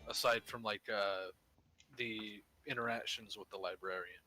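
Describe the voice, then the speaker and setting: A man chatting casually with friends on a game stream, easygoing and quick to laugh. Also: on the low side, fairly even intonation, sharp tone, soft background music, low-quality recording. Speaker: gamer on a group stream. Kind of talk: casual chat.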